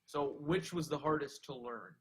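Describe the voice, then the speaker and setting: A man's voice: a little high, moderate pace, a bit bright, a little hiss or hum. Conversational male sports show host. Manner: conversational, friendly, leads with "you know". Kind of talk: interview questions.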